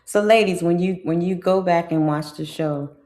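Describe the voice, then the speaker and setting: A woman's voice: lowish voice, moderate pace, dark tone. A middle-aged woman with a congested voice, hosting a casual livestream and chatting in a drawling way with asides to her viewers. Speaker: livestream host.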